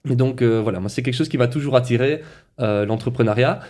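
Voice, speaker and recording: A young man in his twenties from Belgium, a podcast guest, talking quickly with many hesitations. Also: mid-range voice, darkish tone. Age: 20s. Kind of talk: casual interview chat.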